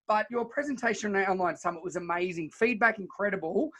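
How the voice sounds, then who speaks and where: A man's voice: sits high, quick pace, a bit bright. A man hosting a podcast, speaking in an enthusiastic, effusive and conversational way. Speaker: podcast host. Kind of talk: upbeat conversational podcast interview.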